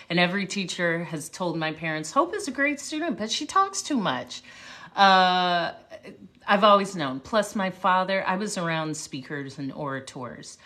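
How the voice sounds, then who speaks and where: Woman speaker, casual livestream chat, slightly low voice, unhurried and reflective. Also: bright, forceful tone. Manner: unhurried, reflective, talks with pauses and restarts. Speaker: livestream host, motivational speaker. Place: home livestream. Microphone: phone or webcam mic.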